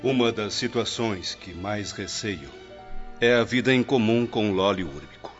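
Low, anxious actor as a male spirit. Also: measured pace, close to an everyday voice. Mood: worried. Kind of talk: radio drama acting. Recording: dull lo-fi audio with music.

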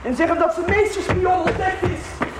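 A woman's voice: extremely high pitch, flat delivery, moderate pace, over a noisy crowd, heavy background noise. A woman playing a distressed, evasive woman in a radio soap. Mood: bitter, resolved.

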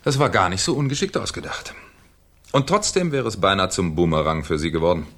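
An actor playing a shrewd, ironic French police commissioner in a 1970s German radio crime drama, with a theatrical, needling manner. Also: male voice, low-pitched, lively intonation, a little fast, a bit bright, exaggerated voice. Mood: smug, reproachful.

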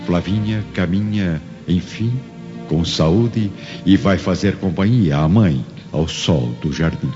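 A man's voice: low voice, slow pace, mellow. A man with a Brazilian accent reading dramatic scripted narration for a radio drama. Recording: hall reverb, dull audio, music underneath.

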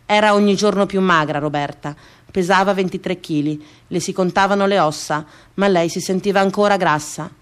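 A woman performs a dramatic scripted radio monologue, deliberate and repeating phrases for emphasis. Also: fairly low pitch. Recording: music behind the voice. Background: music.

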